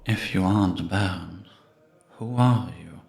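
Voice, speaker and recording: An actor playing the ghost of an old priest in an audio drama, serene, solemn and gently probing, in a measured delivery. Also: male voice, deep voice, gravelly, heavily acted. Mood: curious, probing. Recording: echoey stone-room reverb.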